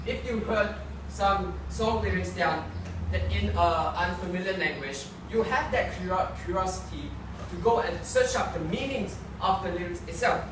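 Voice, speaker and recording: High-voiced debater, echoey and distant. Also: male voice. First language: not English. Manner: earnest, argumentative, frequent self-corrections. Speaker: student debater. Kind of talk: competitive debate speech. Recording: echoey room picked up from far off, background noise.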